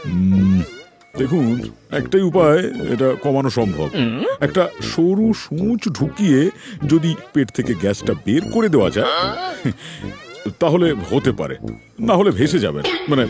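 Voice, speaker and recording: A man's voice: mid-range voice, big pitch swings, moderate pace, gravelly, long pauses. A man voicing a jovial, mocking doctor in a comic cartoon, with a theatrical, joking delivery. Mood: mock grave. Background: music.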